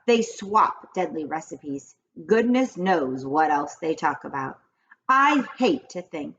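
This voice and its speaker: A woman voicing an old grandmother in a children's storybook, confiding grave witch lore in a deliberate, dramatic way. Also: deep voice, very animated, a bit bright, highs cut off, exaggerated voice. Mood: gravely confiding. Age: older. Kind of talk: children's storybook read-aloud.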